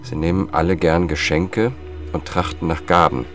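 An actor playing a seasoned, gruff investigator in a radio drama, with a dry, laconic delivery. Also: male voice, sits low, level tone, moderate pace, natural voice. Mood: solemn reading. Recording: music bed under the voice.

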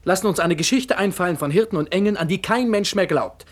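An actor playing a rebellious nineteen-year-old son in a radio play, earnest, critical and argumentative. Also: male voice, high-pitched, fast. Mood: bitter, indignant. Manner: emphatic, argumentative. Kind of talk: late 1960s radio play acting.

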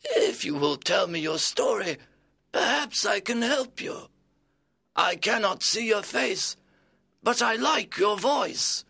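A man voicing a kind, frail, blind old man in a storybook, with a gentle, hesitant way of speaking. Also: sits high, lively intonation, takes it slowly, creaky, slightly rough, pitched above the performer's own voice, full character voice. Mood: kindly, reassuring. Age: older. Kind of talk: storybook character voice.

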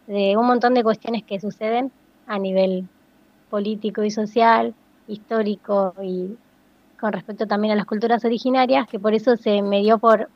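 A woman's voice: mid-range voice, dry recording. A woman singer-songwriter, speaking in a casual interview and searching thoughtfully for her words. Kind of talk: casual interview over a phone line. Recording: dull, dry phone-line sound.

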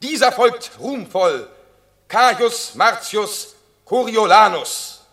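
A Roman herald makes a formal proclamation in classical radio drama style. The voice is high, sharp and forceful, with slow ceremonial pacing. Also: male voice, animated, exaggerated voice. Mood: solemn proclamation.

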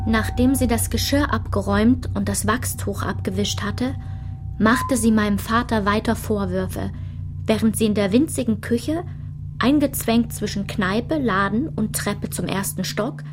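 An actress playing a woman who recounts a traumatic childhood memory in a measured, restrained literary radio play narration, at times dropping to a whisper. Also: medium pitch, noisy background, close to an everyday voice. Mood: sombre, tense.

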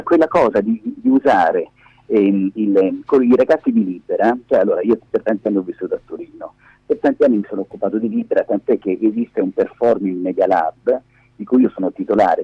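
A middle-aged man speaks as a radio guest, with a husky, lowish voice that rises and falls in a lively way. He explains things emphatically and digresses often, in spontaneous conversation. The phone audio is dull and echoey. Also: a little fast. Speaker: interview guest, media activist and lab director. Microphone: phone held against face.

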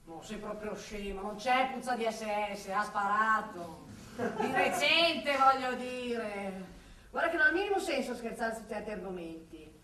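High-voiced, exasperated policewoman, echoey. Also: lively intonation, unhurried, pushed and bright. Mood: dry, sardonic. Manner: exasperated, sardonic, theatrical, talking at unheard colleagues. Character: brusque, irritable Milanese woman forensic police expert, exasperated, sardonic. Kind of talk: theatrical radio drama monologue. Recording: roomy, distant microphone, faint noise.

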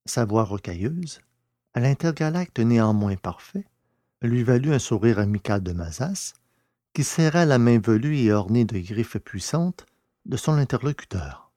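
A medium-pitched man reads scripted science-fiction narration. His delivery is measured and descriptive, in a literary storytelling style, with a dry, close sound. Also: lively intonation, dark tone, dry recording.

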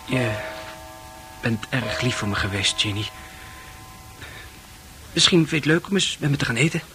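A man's voice: mid-range voice, expressive, moderate pace, background noise, lightly acted. An actor in a Dutch radio play, playing a naive, heartbroken young man who is shy and stammers hesitantly. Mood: shy, confused. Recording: radio play with music behind.

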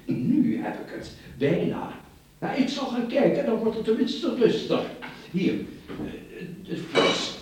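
A man's voice: sits high, very animated, slow pace, darkish tone, full character voice. A man playing a shifty, greedy doctor in a radio play, placating and pleading, hesitant and repeating himself. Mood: coaxing, evasive. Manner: hesitant, repetitive, exclaiming. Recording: echoey, distant, faintly hissy radio play.

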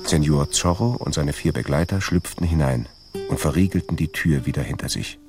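Very deep, gravelly male narrator. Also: normal speed, music in the background. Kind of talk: scripted radio play narration.

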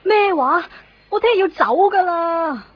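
A woman's voice: very high-pitched, expressive, measured pace. An actress playing a distressed, wistful young woman with a Hong Kong accent in a radio drama. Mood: anxious, pleading. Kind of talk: radio drama acting and narration. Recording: dull lo-fi radio recording under music.

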